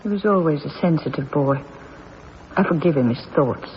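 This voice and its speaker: A woman playing an earnest, sorrowful widow with an emotive, measured manner, in an old-time radio drama style. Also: deep voice, lightly acted. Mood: sorrowful, resigned. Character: earnest, sorrowful, forgiving widow accused of killing husband. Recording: muffled old radio recording, faint noise.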